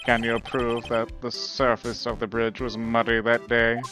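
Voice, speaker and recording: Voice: mid-range voice, monotone, measured pace, a bit bright, lightly acted. A performer voicing a smug, haughty male rival prosecutor in a fan dub of a courtroom game. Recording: music behind the voice.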